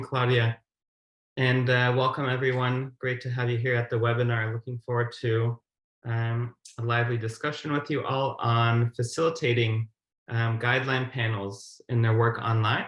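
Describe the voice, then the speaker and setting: Guy in his 30s doing a formal webinar talk, echoey room on a video call, medium pitch. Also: a bit bright. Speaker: guideline methodologist, webinar presenter. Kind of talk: prepared webinar presentation. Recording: roomy, echoing webcam audio.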